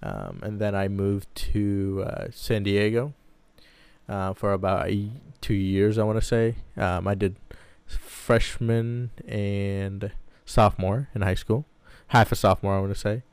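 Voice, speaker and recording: A man in his twenties with a fairly low, smooth voice, recorded close and dry. He talks casually about himself as a podcast co-host, in a loose way with plenty of hedging. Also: unhurried, darkish tone, dry recording. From Baghdad, Iraq. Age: 20s. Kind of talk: casual personal storytelling.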